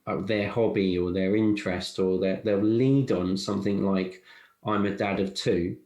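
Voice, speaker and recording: Man, podcast host, halting and thoughtful, lowish voice, roomy echo. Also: fairly even intonation, darkish tone. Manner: thoughtful, halting, repeats words. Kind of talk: casual podcast chat. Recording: echoey room.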